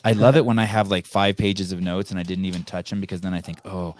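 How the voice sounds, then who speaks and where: A man's voice: low voice, little pitch movement, quick pace. A man whose first language is Armenian, hosting a casual podcast conversation, rambling with frequent restarts and blunt profanity. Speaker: podcast host. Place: home in California.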